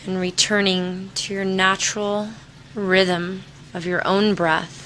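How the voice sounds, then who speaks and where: A woman leading a guided meditation in a soothing, unhurried manner, leaving long pauses between short instructions. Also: medium pitch, flat delivery, fairly bright tone, a little hiss or hum, low-quality recording. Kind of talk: guided meditation, body scan.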